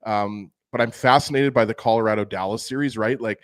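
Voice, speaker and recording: A man's voice: on the low side, fairly quick. A man co-hosting a casual hockey talk show, enthusiastic and often checking in with "right?". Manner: enthusiastic, keeps asking "right?". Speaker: podcast co-host.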